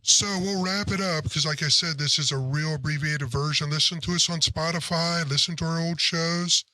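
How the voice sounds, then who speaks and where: A man co-hosting a casual sports podcast, blunt and opinionated, with a deep throaty voice and casual cursing. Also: fairly even intonation, normal speed, sharp tone, no room sound, poor audio.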